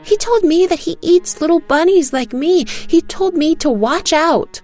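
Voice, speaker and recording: A woman's voice: very high voice, unhurried, a little mellow, soft background music, pitched above the performer's own voice. A woman voicing a sweet, earnest little girl with childlike, simple phrasing in an audio storybook. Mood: frightened, serious. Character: sweet, earnest little girl telling her mother nightmares.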